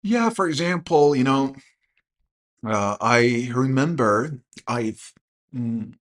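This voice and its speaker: Slow, hesitant man in his thirties. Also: medium pitch, lively intonation. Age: 30s. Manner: hesitant, many fillers and restarts. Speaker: podcast host, English teacher. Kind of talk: casual conversation.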